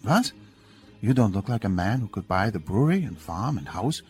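A man's voice: on the low side, big pitch swings, moderate pace, a little mellow, faint music behind. A man voicing a friendly young German immigrant woman who speaks slightly broken English with a German accent in a story. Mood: puzzled. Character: young German immigrant woman, friendly, startled, church-going bank teller.

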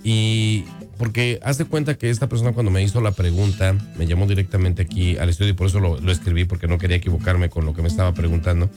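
A man's voice: sits low, fairly even intonation, quick pace, few pauses. A man with a Mexican accent hosting a conversational radio interview in a studio. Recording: music clearly audible behind.

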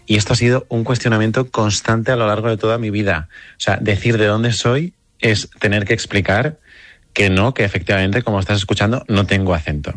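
A man's voice: fairly low pitch, steady tone, fairly quick, a bit bright, no echo. A man from Cádiz with no regional accent, delivering a wry, self-deprecating monologue as a listener's phone voice note. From Cádiz, southern Spain.

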